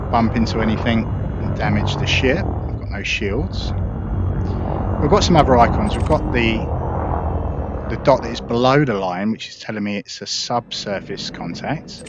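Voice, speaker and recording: A man giving relaxed, explanatory gameplay commentary into a headset, talking himself through the controls step by step. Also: on the low side. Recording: dull lo-fi sound under loud engine hum. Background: loud spaceship engine and game ambience.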